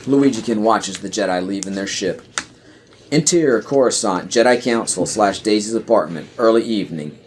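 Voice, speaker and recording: A man's voice: on the low side, darkish tone, slight background noise. A man reading scripted screenplay narration and scene headings for a podcast audio play.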